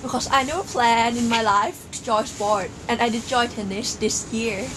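A woman's voice: a little high, moderate pace. A teenage girl from Thailand with a Thai accent, speaking simple English in a giggly casual chat outdoors. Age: teens. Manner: giggly, simple phrasing, cheerful cheers. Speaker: exchange student vlogger. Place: outdoor porch by woods. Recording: outdoor ambience noise.

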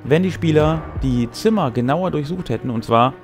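A middle-aged man, sick and tired, hosting a casual, colloquial recap of a tabletop role-playing game and explaining it with dramatic pauses. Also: fairly high pitch, expressive. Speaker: host and game master. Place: small furnished room with brick wall. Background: music.

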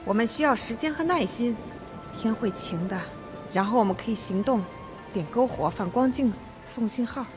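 Authoritative judge female actor for a radio whodunit: slightly high voice, methodical, firm, music underneath. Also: animated, close to an everyday voice. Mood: calm, commanding. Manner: measured, firm. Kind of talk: Mandarin radio mystery drama acting.

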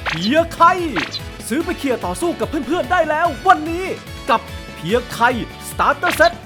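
A woman's voice: sits high, big pitch swings, normal speed. A woman voicing a cute, peppy young girl mascot reading an upbeat, emphatic advertisement. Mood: peppy, selling. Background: music.

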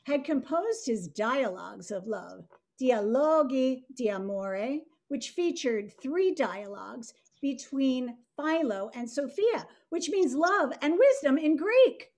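A woman in her sixties delivers scripted scholarly commentary. Her voice sits fairly high and moves in very lively, varied intonation. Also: takes it slowly. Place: indoor home room. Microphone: video call, computer mic.

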